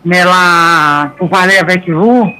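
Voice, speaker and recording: A woman whose first language is Portuguese, calling in on the phone and speaking French haltingly, with many restarts and fillers. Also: fairly low pitch, pushed and bright, slight background noise. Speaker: caller. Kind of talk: phone conversation.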